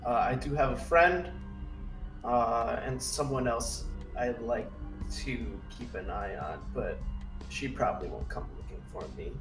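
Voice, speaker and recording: A man playing a streetwise, low-key loner informant in a tabletop game, speaking in an understated, hesitant way with a roomy echo. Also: a little slow, over music, lightly acted. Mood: guarded. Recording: roomy echo, slightly distant.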